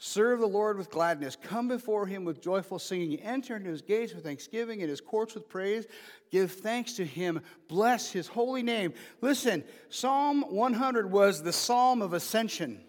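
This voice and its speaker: High-voiced pastor preaching in an echoing hall. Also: male voice, animated, moderate pace. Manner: joking asides, rising to emphatic calls. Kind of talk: informal sermon.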